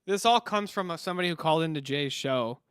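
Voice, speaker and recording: A male streamer giving profane, excitable commentary while playing clips on a live stream. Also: on the high side, quick pace, smooth, no echo, clean studio sound.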